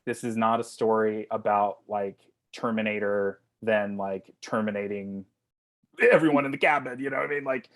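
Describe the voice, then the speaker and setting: A man's voice: on the low side, steady tone, moderate pace. A man talking casually and emphatically as a writer-director on a video call Q&A, swearing freely and peppering his talk with "like". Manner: informal, sweary, emphatic, says "like" often. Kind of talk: casual panel Q&A chat.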